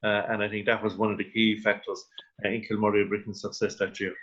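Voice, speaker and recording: An Irish man gives his views as a guest on a radio sports panel. His voice is fairly low and his phrasing halting and deliberate, with slightly muffled, lo-fi sound. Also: little pitch movement, a bit bright. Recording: dull, band-limited radio call.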